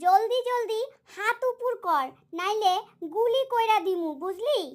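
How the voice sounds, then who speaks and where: A performer voicing a bossy, mischievous small child in exaggerated, loud cartoon dialogue. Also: extremely high pitch, a little slow.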